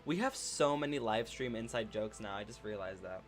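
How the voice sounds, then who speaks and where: A man hosting a relaxed livestream, reading chat messages aloud and answering them supportively, with many fillers. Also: lowish voice, moderate pace, soft background music. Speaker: livestream host.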